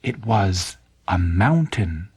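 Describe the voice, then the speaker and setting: A man narrating a scripted bedtime story in an expressive, dramatic way, with pauses and emphasis. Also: sits low, breathy edge.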